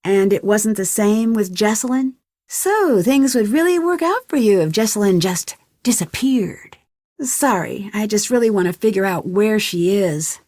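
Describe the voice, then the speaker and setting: A young American woman voicing an earnest, curious and polite amateur detective in an adventure game, with clearly enunciated lines. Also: mid-range voice, expressive, unhurried, darkish tone, no echo, clean studio sound. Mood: earnest questioning, then gentle, sympathetic. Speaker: voice actor for a game's detective heroine. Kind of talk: scripted adventure game dialogue.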